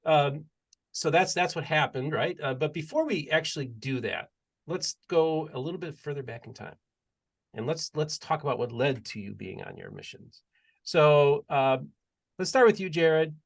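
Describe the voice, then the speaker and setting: A man's voice: fairly high pitch, lively intonation, normal speed, creaky. Chatty male podcast host. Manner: chatty, leads the conversation with long questions. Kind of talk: casual interview chat.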